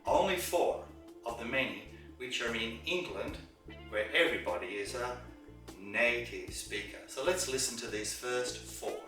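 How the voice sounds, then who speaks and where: A man teaching English to camera, stressing points by repeating words and slowing down on key phrases. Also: mid-range voice, animated. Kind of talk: lesson to camera. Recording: very echoey room, distant mic, with music.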